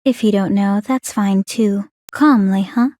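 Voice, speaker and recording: An actress voicing a calm, clinically curious young woman in a video game, speaking in a formal, measured, coolly teasing way. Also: medium pitch, no room sound, clean studio sound, natural voice. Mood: composed, appreciative. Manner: composed, measured, formal, inquisitive. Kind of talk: scripted video game character voice lines.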